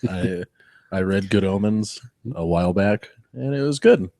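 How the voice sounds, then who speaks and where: Low voiced guy on a podcast, kinda hesitant, repeats himself, dry close mic. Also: animated, a little slow, gravelly, mellow, no echo. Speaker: podcast co-host. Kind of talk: casual chat. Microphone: close studio microphone.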